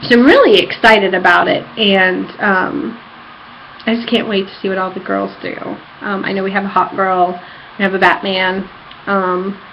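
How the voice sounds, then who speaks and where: A woman's voice: medium pitch, fairly even intonation, normal speed. Woman vlogger with dull lo-fi sound. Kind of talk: casual rambling personal vlog. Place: apartment room. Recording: dull lo-fi home recording, background noise.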